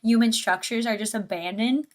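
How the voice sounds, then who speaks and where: A woman's voice: a little high, moderate pace. A woman giving casual live reaction commentary as a streamer, rambling informally and asking questions as she goes. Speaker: livestreamer.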